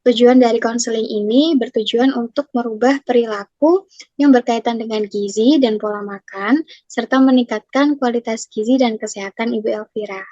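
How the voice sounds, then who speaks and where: A woman's voice: on the high side, moderate pace, dark tone, no echo. Young woman counselor asking careful questions, dry close sound, kinda lo-fi. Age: young adult. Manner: polite, formal, asks questions step by step. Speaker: nutrition counselor in a class role-play. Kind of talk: scripted role-play consultation. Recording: dry, muffled lo-fi recording.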